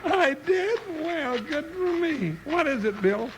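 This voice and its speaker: High, gravelly comical cowboy sidekick. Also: male voice, animated, slow, full character voice. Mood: puzzled. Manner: folksy drawl with rustic grammar, blustering. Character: comical, loyal frontier deputy sidekick, folksy and talkative. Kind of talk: 1950s radio western acting. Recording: noisy 1950s radio recording.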